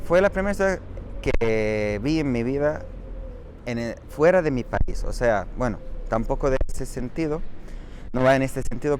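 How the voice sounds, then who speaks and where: A man's voice: medium pitch, darkish tone. A man, talking through his answers in a casual interview outdoors and correcting himself as he goes. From Saudi Arabia. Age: 30s. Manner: thoughtful and explanatory, with frequent self-corrections. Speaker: interviewee, doctoral student. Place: outdoors on a city street. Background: faint traffic.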